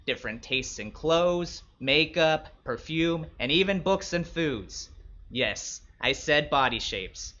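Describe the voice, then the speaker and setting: A man's voice: on the high side, pushed and bright, highs cut off. A man reading a first-person short story as a wary young male escort of the 1960s, wry and blunt.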